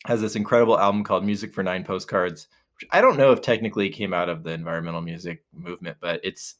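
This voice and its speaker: A man co-hosting a podcast, chatting casually in a relaxed, rambling way with many fillers and restarts. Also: deep voice. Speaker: podcast co-host. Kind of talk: casual chat.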